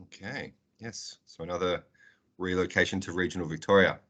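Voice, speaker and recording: A very low-pitched man runs an online class roll call. He is friendly and chatty, teasing students lightly between introductions. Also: fairly even intonation, brisk, sharp tone, dull audio. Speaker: English teacher.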